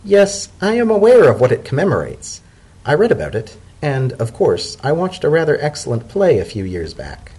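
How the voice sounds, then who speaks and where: A shrewd lady ambassador played by a man questions with dry formality. The voice is husky and swings widely in pitch, aloof yet curious, as in a dramatic storybook reading. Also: huge pitch swings, moderate pace, warm tone, full character voice, rough recording. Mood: dry, skeptical. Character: mild-toned female ambassador, shrewd, aloof and inquisitive.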